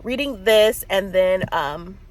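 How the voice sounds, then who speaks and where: A woman's voice: mid-range voice, lively intonation, takes it slowly, low-quality recording. A woman in her thirties with a stuffy cold, chatting casually on a book vlog, rambling with lots of fillers and little laughs. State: congested with a cold. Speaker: book vlogger. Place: in a car.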